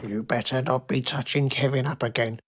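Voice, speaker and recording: Female actor as elderly nagging mother, bossy, intrusive, loud, blunt, broad comic game character. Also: a bass voice, fairly even intonation, brisk. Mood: bossy, scolding.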